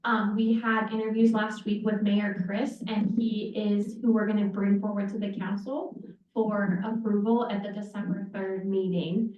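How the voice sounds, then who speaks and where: Woman, mid pitch, friendly and organized, roomy distant sound. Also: darkish tone, a little hiss or hum. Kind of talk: meeting remarks. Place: council meeting room. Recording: echoey chamber, distant muffled mic.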